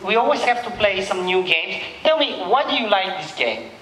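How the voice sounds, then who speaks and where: A man's voice: high voice, sing-song, normal speed, crowd noise. A man in his thirties, a teacher quizzing students in a casual, jokey, encouraging way and repeating their answers back. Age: 30s. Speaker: English teacher and examiner. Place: indoor language-centre room. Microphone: headset. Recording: echoey classroom.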